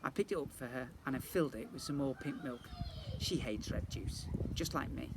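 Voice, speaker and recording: Expressive man reading a children's storybook. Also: medium pitch, normal speed, vocal fry. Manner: expressive, addresses young listeners. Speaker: infant school teacher reading to pupils. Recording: faint background noise.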